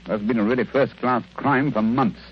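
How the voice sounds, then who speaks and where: An actor playing an irritable, aloof British gentleman detective with a clipped, world-weary delivery in an old-time radio drama. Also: medium pitch, fairly even intonation, moderate pace, a little hiss or hum, lightly acted. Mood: irritable, gloomy. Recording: muffled, dull old radio broadcast.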